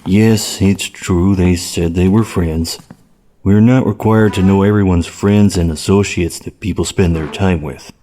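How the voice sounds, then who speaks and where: A man's voice: low voice, fairly even intonation, measured pace, mellow, a little hiss or hum. A man playing a polite, evasive, matter-of-fact police officer in an audio drama, speaking plainly. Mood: guarded, deadpan.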